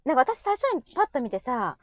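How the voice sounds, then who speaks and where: A woman's voice: sits high, sing-song, quick pace, no echo, clean studio sound. A woman with a Kansai Japanese accent chatting casually as co-host, rambling and teasing in giggly comic banter. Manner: giggly, rambling storyteller who teases. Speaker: co-host of a live audio show.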